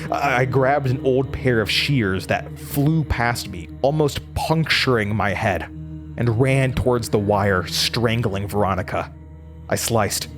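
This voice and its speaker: An actor playing a haunted young male college student with an American accent, narrating horror in a deliberate, intense, dramatic way. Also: mid-range voice, over music, natural voice. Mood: grim, urgent. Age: young adult.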